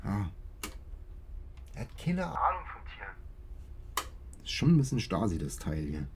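A man's voice: fairly low pitch, big pitch swings, a little slow, very noisy. An actor playing a sceptical, impatient village man who talks in offhand slang. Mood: offhand, grumbling. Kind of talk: naturalistic radio drama dialogue.

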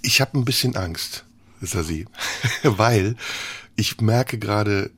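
A man's voice: fairly low pitch, slow, fairly bright tone, breathy edge. A man hosting a radio interview, chatty and thinking aloud, laughing now and then as he steers the talk. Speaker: radio host. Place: radio studio.

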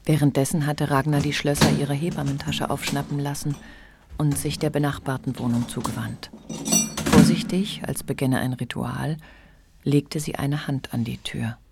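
A woman narrating a literary radio play with measured, deliberate phrasing. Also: deep voice, steady tone, vocal fry, heavy background noise.

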